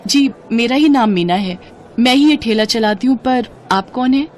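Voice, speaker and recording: A woman's voice: a little high, lively intonation, normal speed, slight background noise, lightly acted. A woman voicing a humble, hardworking poor street food seller in a story, earnest and respectful. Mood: worried, protesting. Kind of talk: storybook character acting.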